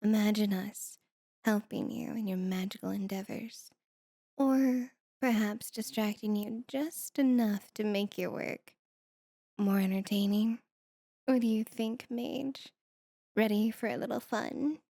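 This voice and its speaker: A woman's voice: mid-range voice, measured pace, warm tone, no room sound, clean studio sound. A woman playing a sultry, mischievous succubus demon in a flirty ASMR roleplay, with a coy, taunting delivery. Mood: inviting, sultry.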